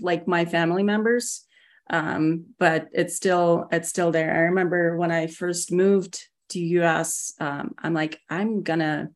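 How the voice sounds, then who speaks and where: A woman in her forties from Russia with a Russian accent, speaking casually as an interview guest, relaxed and hesitant with many pauses. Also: on the low side. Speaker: guest, security executive.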